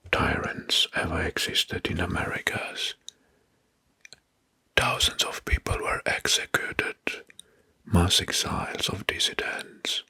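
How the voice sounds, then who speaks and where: Slow, breathy whispering man. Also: deep voice. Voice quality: breathy, mostly unvoiced whisper. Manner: slow, deliberate, repeats names and words softly. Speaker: ASMR narrator. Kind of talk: whispered ASMR narration of history facts.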